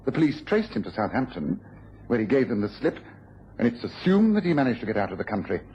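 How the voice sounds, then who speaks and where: An actor playing a courteous, astute British gentleman detective in an old radio drama, precise and given to musing aloud. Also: mid-range voice, very animated, fast, close to an everyday voice. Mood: musing, intrigued. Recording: muffled vintage radio recording with hiss.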